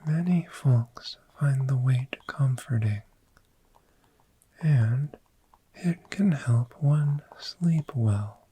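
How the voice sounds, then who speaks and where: A performer voicing a friendly, soothing dragon in an ASMR roleplay, speaking in a gentle, breathy whisper and lingering on repeated words. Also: mid-range voice, expressive, drawn-out words, a little hiss or hum, poor audio. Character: friendly soothing dragon therapist showing a cozy hoard.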